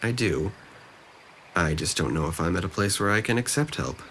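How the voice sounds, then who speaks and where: A young man playing a depressed, self-blaming young man in a drama, speaking haltingly and subdued. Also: sits low, expressive, fairly bright tone, slight background noise. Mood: anxious, resigned. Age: young adult. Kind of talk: naturalistic dramatic dialogue.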